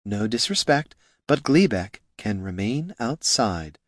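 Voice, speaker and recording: A firm, composed female ranger, voiced by a man in a storybook. She speaks deliberately, with pointed restraint. The sound is dry and close. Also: lowish voice, very animated, dry recording, heavily acted.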